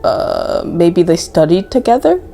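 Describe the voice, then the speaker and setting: Low-voiced young woman, muted tone. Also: sing-song, measured pace, creaky, warm tone, soft background music. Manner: informal, chatty, reactive. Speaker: gaming content creator. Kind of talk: casual gameplay commentary. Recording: faint background noise.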